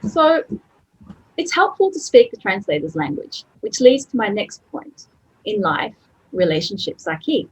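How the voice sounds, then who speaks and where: A middle-aged woman giving a chatty, lively presentation to colleagues. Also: mid-range voice, measured pace, breathy edge, rough recording. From Australia. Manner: lively and chatty, laughs easily. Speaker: conference speaker.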